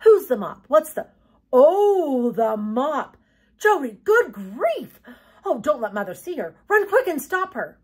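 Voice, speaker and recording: A woman's voice: on the high side, animated, moderate pace, slightly rough. A woman voicing a grumpy, brash boy with blunt, grumbling speech for a story. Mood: alarmed.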